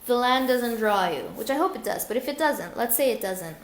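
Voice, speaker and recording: A woman's voice: fairly high pitch, animated, a little fast, roomy sound. A woman speaking as a guest in a live online Q&A, talking earnestly with many fillers and restarts. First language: English. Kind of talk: live webinar Q&A.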